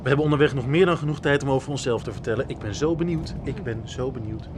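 A man's voice: medium pitch, quick pace, natural voice. An actor playing an eager, informal younger man, mildly teasing, in a naturalistic radio drama. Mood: eager, polite. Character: eager, easygoing younger man, half of a travelling couple. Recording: noisy airport and vehicle ambience.

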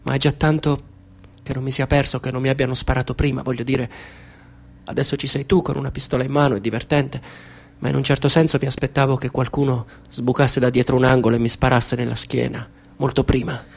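A polite stranger speaks softly and with measured calm, in an ordinary mid-range male voice. It is a radio drama, and there is a quiet menace under his courtesy. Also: mid-range voice, gravelly. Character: calm, soft-spoken stranger, quietly sinister.

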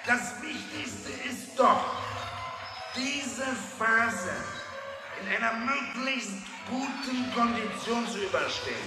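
A cautious, solemn conference orator in a radio play. The voice rings through a huge echoing hall over loud music. It is startlingly high and drawn out in stylized, formal phrases. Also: male voice, animated, takes it slowly, heavily acted.

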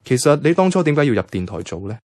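A man's voice: on the high side, big pitch swings, fast, warm tone, no room sound, clean studio sound. An actor playing a lonely, heartbroken young man in a radio drama, subdued and reflective, with a Hong Kong Cantonese accent. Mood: mild, curious. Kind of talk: radio drama acting and inner monologue.

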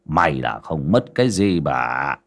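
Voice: extremely low pitch, moderate pace, husky, pitched below the performer's own voice. A narrator voicing a frail, cautious old village man with plain rural, sometimes stammering speech in an audio story. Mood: subdued.

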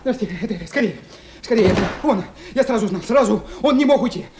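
Panicky, shrill stammering little man. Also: animated, brisk. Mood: panicked. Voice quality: stammering, high-pitched, breathless. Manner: frantic, whining, jumbled repetitions. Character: panicky, cowardly, stammering man informing on a neighbour. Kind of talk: Soviet radio drama acting. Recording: noisy, echoey vintage radio play recording.

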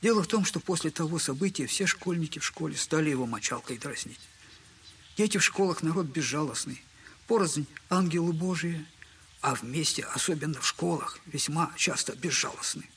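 A man's voice: fairly high pitch, normal speed, fairly bright tone, breathy edge, full character voice. An actor in an audio drama playing a humiliated, buffoonish middle-aged poor father who talks in a servile, wordy, self-mocking way. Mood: sorrowful, tender. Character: humiliated, buffoonish impoverished father, bitter and tender. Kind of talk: Russian literary audio drama acting.

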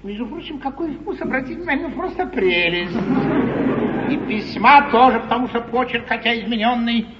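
An actor playing a suave, teasing aristocratic schemer in a theatrical court comedy, with a mocking, courtly, drawn-out delivery. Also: male voice, very high-pitched. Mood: mock-reasonable. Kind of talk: 1950s theatrical comedy stage acting. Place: large theatre stage before an audience. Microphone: distant stage microphone. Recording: muffled, noisy 1950s live theatre recording, distant.

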